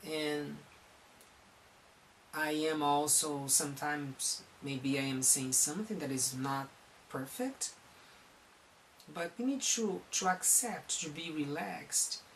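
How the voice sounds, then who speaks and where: A counselor from Brazil speaking with a Brazilian accent, giving a recorded talk on psychology in an earnest, halting way and often restarting his phrases. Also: on the high side, a bit bright, slight background noise, poor audio.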